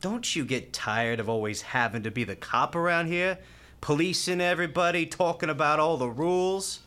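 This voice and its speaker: A woman's voice: sits low, moderate pace, fairly bright tone. A woman acting in a radio play as a brash, tender, streetwise young hospital volunteer, speaking informally with an American accent. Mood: defiant, heated. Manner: informal, defiant, slangy.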